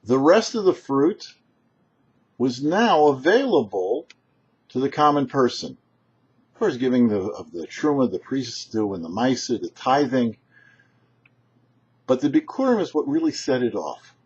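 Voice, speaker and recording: A man, a rabbi hosting a short religious teaching, speaking emphatically and deliberately with dramatic pauses. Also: medium pitch, very animated, a little mellow, slightly rough. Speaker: rabbi, show host. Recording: muffled, dull lo-fi sound.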